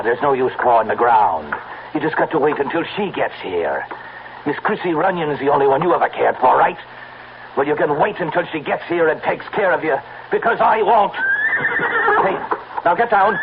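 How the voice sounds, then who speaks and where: An actor playing a bitter, cruel stable hand with a taunting, bullying manner in an old-time radio drama. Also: male voice, fairly high pitch. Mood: angry. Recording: dull, very noisy old radio recording.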